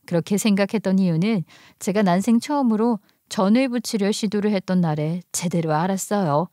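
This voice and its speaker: A woman's voice: mid-range voice, brisk, warm tone, no echo. Woman narrator, close dry recording. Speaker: story narrator. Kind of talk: scripted first-person narration.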